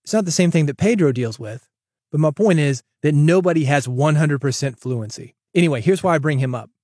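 Man, podcast host, fairly high voice, conversational coaching tone, dry close mic. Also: lively intonation, a little fast, darkish tone, no room sound. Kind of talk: solo podcast monologue.

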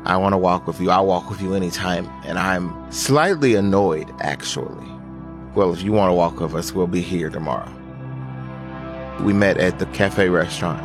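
A man's voice: deep voice, moderate pace. A man from Nashville telling his own story in the first person for a radio documentary. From Nashville, United States. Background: music bed.

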